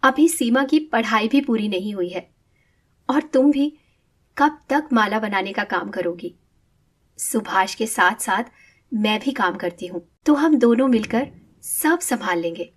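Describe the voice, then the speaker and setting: Devoted young daughter, fairly high voice, earnest, insistent, cartoon moral story. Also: female voice, animated, unhurried, husky. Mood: hopeful, earnest. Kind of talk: animated moral story dialogue.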